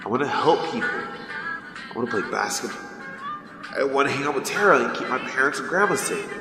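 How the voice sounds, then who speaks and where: Guy doing a flustered teen superhero kid, pitch jumping all over, audio drama with music behind. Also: very animated, brisk. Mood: frustrated. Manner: stammering, flustered, self-doubting. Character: anxious, earnest male teenage superhero, awkward with his mom.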